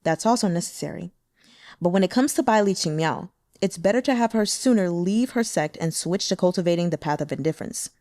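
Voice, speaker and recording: A woman's voice: lowish voice, expressive, brisk, darkish tone, no room sound, studio quality. A woman voicing a curt, cold demon lord. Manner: curt and expressionless.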